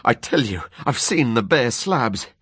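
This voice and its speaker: A man's voice: medium pitch, animated, measured pace, a bit bright, husky, dry recording, clean studio sound, voice pushed up. A man voicing an overwrought, haunted husband with an intense, dramatic manner in a radio story reading. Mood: shaken, stubborn. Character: overwrought, haunted husband narrating his own terror.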